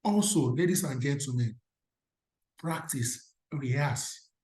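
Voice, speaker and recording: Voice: mid-range voice, slow pace, dark tone. Emphatic Nigerian speaker in a roomy space. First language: Yoruba. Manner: emphatic, asks the audience rhetorical questions. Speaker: career speaker at an alumni event. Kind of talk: motivational talk. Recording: roomy echo.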